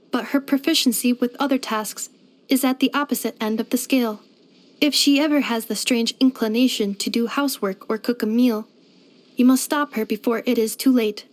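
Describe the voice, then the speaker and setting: A woman's voice: a little high, lightly acted. A woman voicing a polite, earnest female robot in a video game, speaking formally and precisely. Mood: matter-of-fact, deadpan. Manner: formal, precise, measured delivery with clinical machine-like phrasing. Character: polite female robot maid, earnest, gentle and literal-minded. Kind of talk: scripted video game character voice lines.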